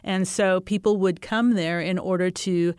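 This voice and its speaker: A woman's voice: on the low side, steady tone, a little slow, a little mellow, smooth, no room sound, clean studio sound. A woman superintendent speaking in a panel discussion, reflective and storytelling. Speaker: school superintendent.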